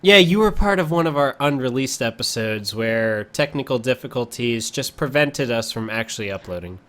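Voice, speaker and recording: A man's voice: medium pitch, lively intonation, moderate pace, fairly bright tone. Chatty male podcast host. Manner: chatty, swears, teasing co-hosts. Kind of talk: casual podcast banter.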